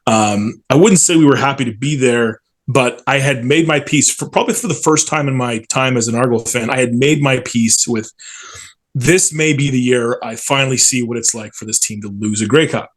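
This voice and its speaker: A man's voice: mid-range voice, moderate pace. Man reminiscing with enthusiasm. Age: 40s. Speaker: stadium PA announcer, interview guest. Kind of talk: casual storytelling in an interview.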